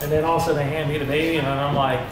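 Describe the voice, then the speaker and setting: A man's voice: a little high, little pitch movement, very fast, background noise. Man telling stories in an echoey barbershop. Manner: loose, rambling, self-mocking storytelling. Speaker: panelist. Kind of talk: casual barbershop talk. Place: barbershop, indoors. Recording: very echoey shop with crowd chatter.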